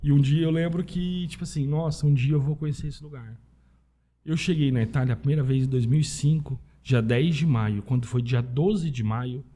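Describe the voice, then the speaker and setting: Mellow, husky Brazilian man chatting. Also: medium pitch, moderate pace. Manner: informal, anecdotal, peppered with tag questions. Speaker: podcast guest, Italian citizenship specialist. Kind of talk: casual conversational interview.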